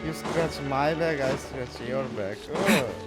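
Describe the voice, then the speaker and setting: A woman's voice: a bass voice, takes it slowly. A woman giving casual, playful commentary while playing a video game. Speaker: streamer reacting to a game. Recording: game music behind the voice.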